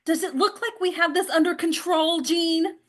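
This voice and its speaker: A woman's voice: very high-pitched, moderate pace. A woman at a script table read, playing an exasperated, commanding staffer in a crisis. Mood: frantic, exasperated.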